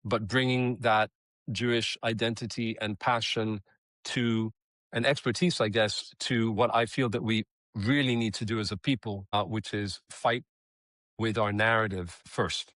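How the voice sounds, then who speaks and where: Earnest low-voiced man, dry sound. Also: a little slow, dry recording. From Israel. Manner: earnest, steady, many restarts. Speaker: guest, creative copywriter and advocate. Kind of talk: interview answers, storytelling.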